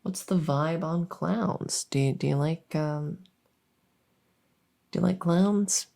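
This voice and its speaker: A woman playing a silly, harmless monster from under the bed in an ASMR audio roleplay, rambling softly and hesitantly with awkward earnestness. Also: low-pitched, slow pace, creaky, exaggerated voice. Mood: hesitant, curious. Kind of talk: ASMR audio roleplay, one-sided improvised-sounding interview.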